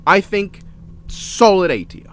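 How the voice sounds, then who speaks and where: A man's voice: high voice, sing-song, slow pace, a bit bright, a little hiss or hum. An Australian man giving a casual, opinionated and sweary video-essay review as a voice-over.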